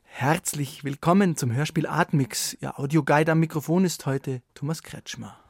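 A man's voice: a little high, moderate pace, gravelly. A man presenting a radio programme from a script and putting questions to a guest. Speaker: radio host and interviewer. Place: radio studio. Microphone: studio microphone.

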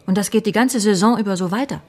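An actress playing a sly, self-assured police secretary in a radio drama, with a knowing, teasing manner. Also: female voice, medium pitch, quick pace, a little mellow, lightly acted. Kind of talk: naturalistic radio drama acting.

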